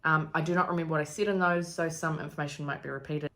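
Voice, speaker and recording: A woman's voice: low voice, steady tone, fast talker, fairly bright tone. A woman in her thirties from New Zealand with a New Zealand accent, talking casually to camera in a rambling vlog full of ums and likes. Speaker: vlogger.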